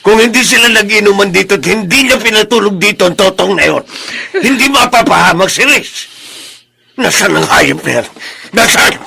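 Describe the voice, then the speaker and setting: Forceful, raspy angry brother. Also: male voice, sits high, expressive, moderate pace, heavily acted. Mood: accusing. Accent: Filipino. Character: furious, protective elder brother. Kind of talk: Filipino radio drama acting.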